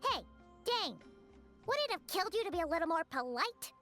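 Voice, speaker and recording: A woman's voice: very high-pitched, very animated, a little fast, a bit bright, faint music behind, heavily acted. A woman voicing a tiny, chatty, cheeky female fairy companion in a video game. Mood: teasing. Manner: chatty, teasing, scolding.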